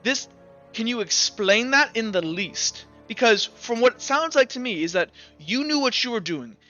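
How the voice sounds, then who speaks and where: A man playing a skeptical, methodical courtroom prosecutor who presses with halting, repeated questions in a tabletop roleplay. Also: very high-pitched, lively intonation, bright, forceful tone, highs cut off, soft background music, exaggerated voice. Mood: accusing, incredulous.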